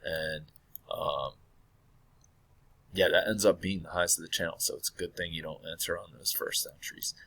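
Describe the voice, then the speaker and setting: A man walking through a trading chart in a casual, hesitant way, hoarse and losing his voice from illness, often clearing his throat and correcting himself. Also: deep voice, steady tone, normal speed, pauses often, bright, forceful tone. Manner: thinking aloud, hesitant with many fillers and self-corrections. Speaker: trading analyst.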